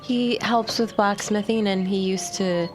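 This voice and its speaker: A woman playing in a tabletop game, talking quickly and earnestly about her family. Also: mid-range voice, fairly even intonation, faint music behind. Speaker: player. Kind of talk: tabletop roleplay chat.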